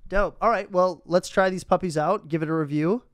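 A young man from the Midwest hosting a podcast in casual chat, relaxed and slangy, laughing often, on a boom-arm studio mic in a small room. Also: brisk, no room sound. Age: young adult. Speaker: podcast host.

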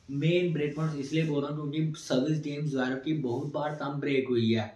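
A young man hosting a sports channel, giving an energetic post-match tennis analysis to camera from a small indoor room, listing scores in quick runs. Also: mid-range voice, roomy sound, low-quality recording. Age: young adult. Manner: energetic, recaps scores in quick lists, gestures while talking.

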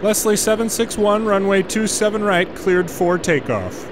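A man with a slightly high-pitched voice narrates his airport game. He gives measured controller-style instructions and drops into casual muttered asides, with some ambient noise behind him. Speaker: gamer and former air traffic controller. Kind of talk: gameplay commentary with air traffic control radio phraseology.

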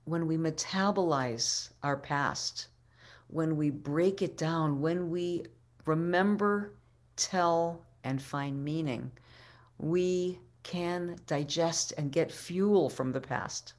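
Slow, low-voiced older woman storyteller. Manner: deliberate, dramatic storyteller with pauses and repetition. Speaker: keynote speaker, rabbi. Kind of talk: storytelling keynote talk. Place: living room at home, indoors. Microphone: webcam video call microphone.

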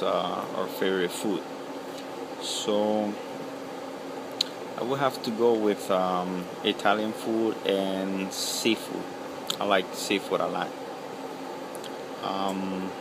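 A man in his thirties from El Salvador talks slowly into a close webcam, his voice sitting on the low side. He pauses often, filling the gaps with ums and uhs. An air conditioner hum and outdoor noise stay clearly audible behind him. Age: 30s. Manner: halting, full of ums and uhs. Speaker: pipe-smoking video blogger. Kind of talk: casual video reply. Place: outdoors beside a house. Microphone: webcam at close range.

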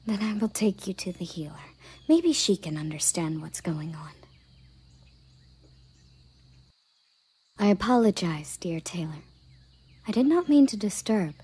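A very breathy, mellow female elf warrior, acted by a woman, haughty yet curious. She speaks in formal, measured phrases that often sink toward a whisper, as in a fantasy audio drama. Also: mid-range voice, big pitch swings. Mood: formal, probing. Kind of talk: scripted roleplay audio drama.

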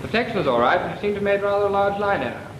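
A brisk naval flying instructor gives crisp, clipped orders in a slightly high voice. The old film soundtrack is echoey and full of hiss. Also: male voice, lively intonation. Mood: instructive. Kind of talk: 1940s training film acting.

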